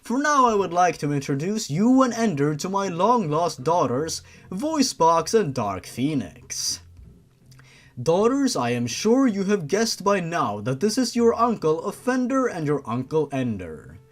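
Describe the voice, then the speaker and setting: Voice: mid-range voice, very animated. Narrator as a formal, composed monster father. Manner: measured, formal.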